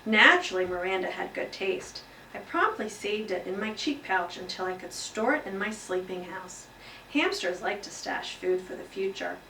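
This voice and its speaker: A bright, earnest little storybook hamster, voiced by a woman, tells of classroom life. The delivery is emphatic and expressive, heard a bit far from the mic in an echoey room with faint noise. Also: medium pitch, moderate pace. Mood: earnest, content. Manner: expressive, exclaiming, emphatic read-aloud delivery. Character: small earnest pet hamster telling his classroom story. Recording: roomy echo, distant mic, faint noise.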